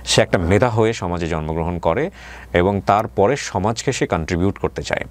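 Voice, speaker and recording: A man's voice: deep voice, animated, normal speed. A man with a Bangladeshi accent hosting a radio interview, earnest and probing, explaining at length and mixing in English words. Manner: mixes English words into his speech. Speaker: radio show host.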